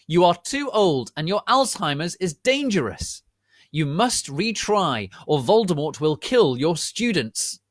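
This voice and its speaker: Guy doing a stern lady official, high pitched, shouty, drags out words all indignant, audiobook style. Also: sing-song, no room sound, studio quality. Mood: outraged. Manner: shouting, slow emphatic declamation.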